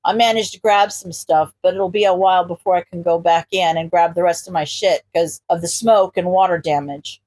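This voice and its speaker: A gruff, tired hero man played by a woman narrator in an audiobook. The voice is pushed low, and he talks curtly, grumbling and swearing as he goes. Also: steady tone, a little fast, hardly any pauses, darkish tone, no room sound, lightly acted. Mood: grumbling, apologetic. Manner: curt, profane, grumbling. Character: gruff, sweary adult male hero, weary and curt. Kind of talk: audiobook character voice.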